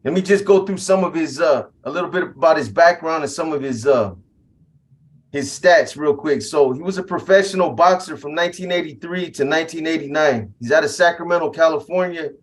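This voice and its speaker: Man, interview host, casual and friendly, slightly high voice. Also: brisk. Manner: casual, friendly, calls the guest "bro". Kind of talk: podcast interview.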